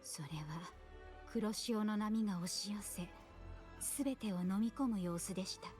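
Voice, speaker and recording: A woman voicing a solemn, composed priestess seer in a video game, speaking formally with breathy pauses. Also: mid-range voice, a little mellow, natural voice. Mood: solemn, prophetic. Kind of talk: Japanese video game voice acting. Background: video game music.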